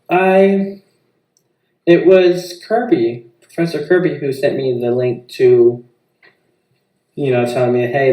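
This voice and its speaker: Young american guy, casual halting interview answers, recorded far from the mic in an echoey room. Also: mid-range voice, mellow. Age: young adult. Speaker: interviewee, student filmmaker and musician.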